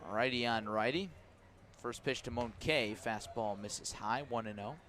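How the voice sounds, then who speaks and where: A man doing live radio play-by-play of a baseball game, giving measured calls mixed with explanatory asides and dry understatement. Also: medium pitch, fairly bright tone. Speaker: baseball play-by-play announcer for a team's radio broadcast. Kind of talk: live radio sports commentary. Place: outdoor ballpark at night.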